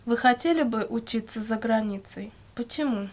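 A woman reading scripted language-course questions and answers in a measured, carefully enunciated way. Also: on the high side, darkish tone. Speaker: language lesson reader.

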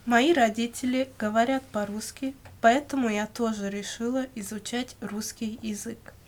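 Woman, slightly high-pitched voice, language-course narrator, scripted questions and answers, careful measured diction. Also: fairly even intonation. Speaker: language lesson reader.

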